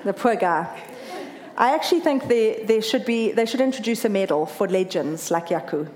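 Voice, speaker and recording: A woman's voice: medium pitch, normal speed. A woman from South Africa with a South African accent, earnestly telling a personal story about running a marathon. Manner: earnest, emotional, lively when quoting others.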